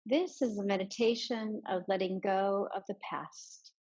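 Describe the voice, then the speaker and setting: A woman guides a meditation at a very unhurried pace, leaving space between short, soft instructions. Her voice sits at a medium pitch with a husky edge. The recording is somewhat dull and lo-fi. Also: steady tone. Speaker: yoga and meditation teacher.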